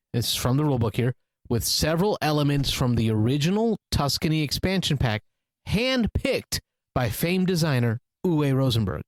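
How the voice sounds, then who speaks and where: A man's voice: medium pitch, no room sound, low-quality recording. Non-native English-speaking male co-host, playful needling delivery, dry close mic. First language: not English. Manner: playful, teasing, speaks in short bursts. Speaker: podcast co-host. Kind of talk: casual discussion.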